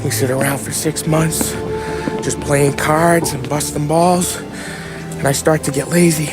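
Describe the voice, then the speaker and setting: A man's voice: on the high side, fairly even intonation, measured pace, over loud music, close to an everyday voice. An actor playing an embittered, foul-mouthed American mobster in his late thirties, talking in streetwise slang in a crime game. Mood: weary, bitter. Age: late 30s. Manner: streetwise slangy wiseguy talk. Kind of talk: cinematic video game crime drama acting.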